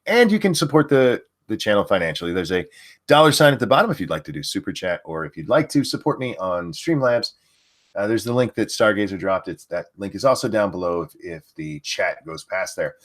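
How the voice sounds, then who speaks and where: An older man hosting a live stream, his low voice leaping about in pitch as he mocks an article. His commentary is crude and sarcastic, heavy with swearing and scoffing asides to chat. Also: very animated, fairly quick. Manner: reads chat and an article aloud. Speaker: livestream host.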